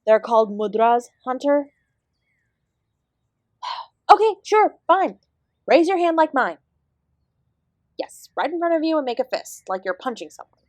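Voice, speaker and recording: A woman's voice: high voice, big pitch swings, unhurried, long pauses, no echo. A woman playing an ancient celestial dance teacher in an audio roleplay, sarcastic and exasperated, speaking with sardonic, sweary emphasis. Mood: weary, snarky. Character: ancient female apsara dance guru, sarcastic, exasperated, fiercely independent. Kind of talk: scripted audio roleplay addressed to the listener.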